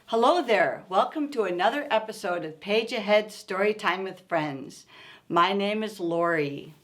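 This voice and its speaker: A woman hosting a storytime, reading a picture book aloud to young children in an expressive, coaxing way and asking them questions. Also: medium pitch, a little slow.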